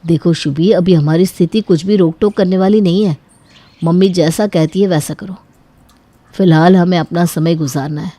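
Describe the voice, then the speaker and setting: Woman voicing a worried young husband, lowish voice. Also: steady tone, normal speed, mellow, dry recording, close to an everyday voice. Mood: firm, explaining. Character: worried, unemployed young husband, resigned and practical. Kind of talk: animated Hindi moral story acting.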